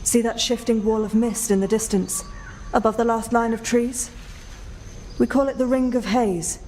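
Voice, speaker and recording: An actress playing a calm, ominous female guide in a fantasy video game, speaking deliberately like a storyteller. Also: fairly high pitch, a little hiss or hum, lightly acted. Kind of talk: video game cutscene acting.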